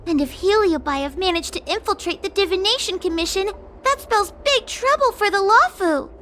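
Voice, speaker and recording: A woman's voice: extremely high pitch, animated, normal speed, sharp tone, slight background noise, exaggerated voice. A woman voicing a polite, earnest and anxious young girl in an anime-style video game. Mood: concerned. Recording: music behind the voice.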